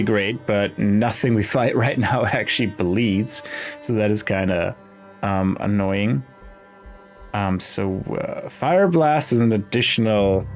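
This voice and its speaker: Low, gravelly man musing over a game. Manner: hesitant, musing, reads game text aloud. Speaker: gaming video host. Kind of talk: casual game commentary, thinking aloud. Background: game music.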